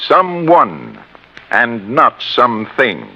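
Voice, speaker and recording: An actor with an American accent playing an earnest, exasperated insurance executive, emphatic and insistent, in 1950s radio drama style. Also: male voice, mid-range voice, wildly swooping pitch, very slow, bright, forceful tone, exaggerated voice. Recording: muffled old radio recording.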